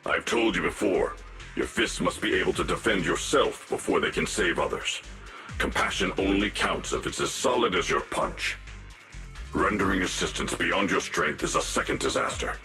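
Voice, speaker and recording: An actor playing a stern but humble martial arts master in a video game, speaking in a measured, grave, lecturing way with a gravelly voice. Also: male voice, deep voice, animated, sharp tone, full character voice. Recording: roomy echo, music underneath.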